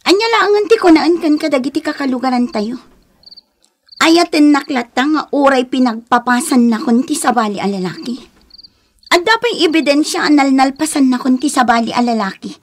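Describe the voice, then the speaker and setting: A woman's voice: high voice, normal speed, close to an everyday voice. An actress with an Ilocano accent playing a troubled, bitter young unwed mother in a melodramatic radio drama style. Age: young adult. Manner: melodramatic, aggrieved.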